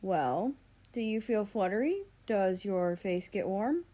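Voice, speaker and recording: A woman's voice: mid-range voice, animated, slow, dark tone. A woman voicing a pushy, exasperated young female friend in a story, playful and emphatic. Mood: pushy teasing.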